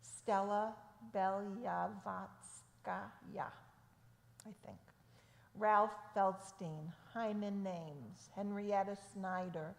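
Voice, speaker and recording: A woman leads a synagogue service in an unhurried, measured voice. Her words ring out through an echoey sanctuary with a long reverb tail. Her pitch sits in an ordinary middle range. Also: vocal fry. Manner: slow, measured reading of names. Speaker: service leader. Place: temple sanctuary.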